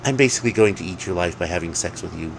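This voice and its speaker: A man's voice: low voice, rapid-fire, vocal fry, slight background noise, rough recording. Casting: ancient female demon temptress played by a man, blunt, irritable, grumbling, for a comic horror story. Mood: breezy, matter-of-fact. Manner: sardonic, grumbling, matter-of-fact.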